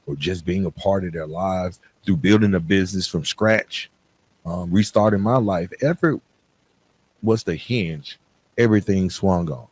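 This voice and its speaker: A man's voice: sits low, a little mellow, breathy edge. A middle-aged man from Atlanta, hosting a motivational podcast and speaking earnestly and conversationally straight to the listener. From Atlanta, United States. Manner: earnest, conversational, with rhetorical questions and check-ins. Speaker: podcast host. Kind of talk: motivational talk addressed to the listener.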